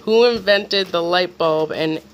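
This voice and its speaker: A man's voice: high-pitched, measured pace, dull audio, a little hiss or hum. A man hosting a casual family quiz, reading out the questions. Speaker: quiz host.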